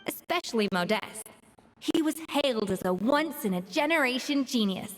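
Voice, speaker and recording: A woman's voice: fairly high pitch, lively intonation, moderate pace, big-room sound, slight background noise, close to an everyday voice, low-quality recording. A woman voicing a proud, self-assured master chef in a video game, speaking precisely and with some haughtiness. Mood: matter-of-fact. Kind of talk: video game character acting.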